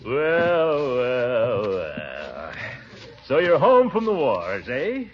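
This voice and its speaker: Booming pompous uncle, hearty and bossy, wildly swooping pitch, dull old radio sound. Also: male voice, huge pitch swings, very slow, over music, exaggerated voice. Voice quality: booming, with chuckling laughs. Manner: hearty and bossy, stretches out his words. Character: blustery pompous middle-aged uncle, nosy and fussing. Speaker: head of the household. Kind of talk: 1940s radio comedy acting. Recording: dull 1940s radio broadcast.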